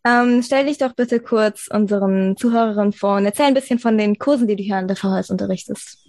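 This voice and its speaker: A woman's voice: mid-range voice, fast, darkish tone, dry recording. A woman hosting a podcast interview, asking questions in a conversational style.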